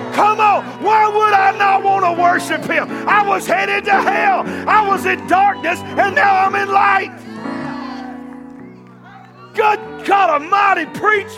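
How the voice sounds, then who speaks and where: A pastor preaching a sermon, building to impassioned shouting and calling out to the congregation. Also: male voice, extremely high pitch.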